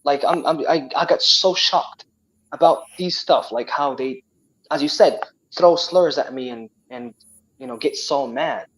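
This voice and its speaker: Moroccan guy, tamazight speaker, fairly high voice, keeps restarting his words, casual chat. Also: unhurried, low-quality recording. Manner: animated, frequent restarts and repeated words. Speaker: guest.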